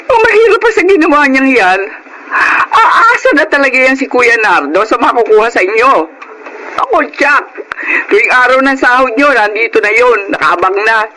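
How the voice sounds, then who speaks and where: A woman's voice: on the high side, animated, moderate pace, bright, forceful tone, slight background noise, poor audio. A Filipino woman acting an indignant middle-aged wife and mother in an emotional radio drama, scolding and fed up with her in-laws. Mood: bitter, worried.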